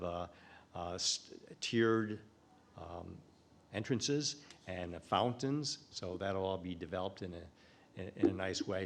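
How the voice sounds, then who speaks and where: A very low-voiced man gives a talk at a conference. He pauses often and restarts his sentences, filling gaps with "uh" as he walks through his slides. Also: vocal fry. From United States. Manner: halting, many fillers and restarts. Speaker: conference presenter. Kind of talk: live presentation talk. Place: conference room.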